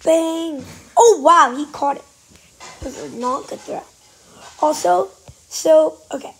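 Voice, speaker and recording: A woman with a cold giving casual, excitable commentary while playing a game, sometimes dropping to a whisper. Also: high voice, takes it slowly, gravelly, rough recording. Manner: chatty, excitable, trailing off into whispers. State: has a cold. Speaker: gaming channel host. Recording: faint background noise.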